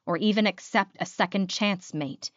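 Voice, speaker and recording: A woman's voice: medium pitch, moderate pace, no echo, highs cut off, natural voice. A woman voicing a heartbroken, solemn male werewolf in an audiobook, speaking with resolve. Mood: solemn vow.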